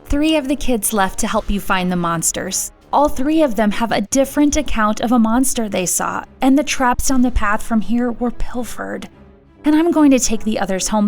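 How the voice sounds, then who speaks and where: A woman's voice: a little high, animated, moderate pace, a little mellow, faint music behind. A woman playing a caring, practical village mother in a fantasy audio drama, matter-of-fact and reassuring. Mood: concerned.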